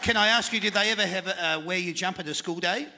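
A man's voice: sits high, fast talker, over loud music. A middle-aged Australian man hosting a live panel show, chatty and jokey, speaking into a handheld mic in a function room. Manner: chatty, jokey, works the crowd.

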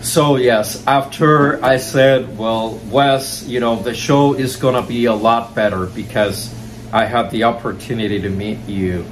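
A young man, speaking at a middling pitch to a nearby camera from a couch indoors. He tells his story eagerly and showers praise on the people he met. A steady hiss of noise sits under his voice. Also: unhurried, poor audio. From Cuba. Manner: effusive, enthusiastic, heaps praise. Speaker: show host. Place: indoor room, seated on a couch.

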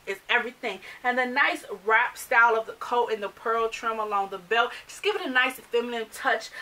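Chatty bright-voiced woman vlogger. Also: on the high side, distant mic. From United States. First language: not English. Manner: chatty, animated, gestures while talking. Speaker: fashion vlogger. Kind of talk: casual chat to camera. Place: small bedroom filled with clothes and accessories.

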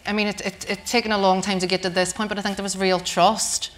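Halting Northern Irish woman, writer. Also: mid-range voice, level tone. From Northern Ireland. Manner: halting, with laughs and restarts. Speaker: writer on a panel. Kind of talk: casual panel talk. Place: stage before a live audience.

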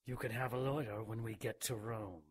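A man's voice: fairly low pitch, steady tone, moderate pace, breathy edge, dry recording. A man playing a menacing old vicar of a secretive holy order in a radio drama, speaking formally and imperiously. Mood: cold, menacing.

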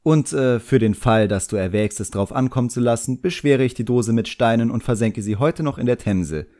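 A man's voice: medium pitch, mellow, voice pushed up, close to an everyday voice. A man voicing a clever, defiant boy, a magician's apprentice, in an audiobook. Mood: threatening. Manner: hesitant, drawn-out threats.

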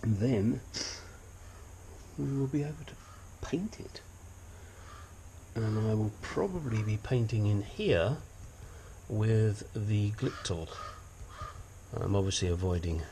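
A man's voice: lowish voice, slow, pauses often, mellow, a little hiss or hum. An older man with a sniffly nose giving a blunt, chatty walkthrough of his engine work in a home garage. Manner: blunt, chatty, self-deprecating. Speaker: hobbyist engine restorer. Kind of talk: casual workshop walkthrough. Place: cluttered home garage workshop.

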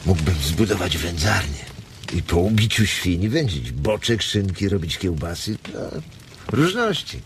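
An actor in a radio drama playing a blunt, weary ranch hand who dreams of his own farm, speaking colloquially with hesitant pauses. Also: deep voice, very animated, exaggerated voice. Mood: dreamy. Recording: noisy ambience behind the voice.

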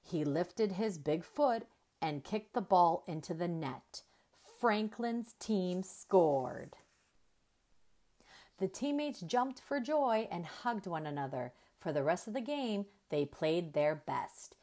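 A woman narrates a children's picture book aloud. Her voice is on the low side and swings widely in pitch. She takes her time, shaping each sentence expressively. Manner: deliberate, animated storytelling with emphasized dialogue. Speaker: children's storybook reader.

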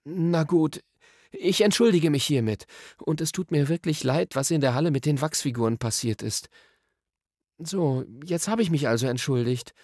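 A man's voice: on the high side, normal speed, darkish tone, dry recording, studio quality, pitched above the performer's own voice. A man voicing an anxious, stubborn young boy in a storybook, pleading and insistent. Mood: grudging, pleading. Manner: urgent, insistent.

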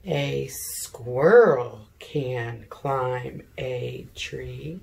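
A middle-aged woman, an elementary teacher leading a read-aloud lesson for young children, speaking deliberately, sounding out words and asking questions. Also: rumbling low, gravelly, a little mellow. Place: living room at home, seated in an armchair.

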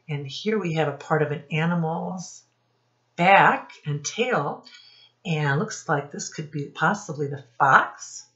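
Middle aged lady teacher talking to little kids, low voice, kinda dull webcam sound. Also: fairly bright tone. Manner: deliberate, instructive, enunciating for small children. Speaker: early-childhood teacher. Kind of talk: read-aloud lesson addressed to young children. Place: small indoor room with posters.

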